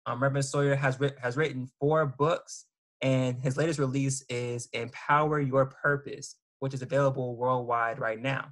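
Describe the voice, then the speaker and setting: A man's voice: medium pitch, steady tone, moderate pace, fairly bright tone. A man hosting an interview, reading out his guest's introduction with frequent ums and restarts. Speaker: host.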